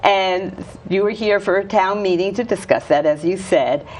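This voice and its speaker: A woman's voice: mid-range voice, normal speed, rough recording. Enthusiastic woman hosting a local show. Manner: enthusiastic, gushing. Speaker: host. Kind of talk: casual interview chat.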